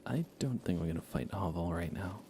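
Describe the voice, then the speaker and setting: Very low, creaky soft-spoken man. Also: animated, brisk, background noise. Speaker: livestreamer playing a video game. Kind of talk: soft-spoken ASMR game commentary.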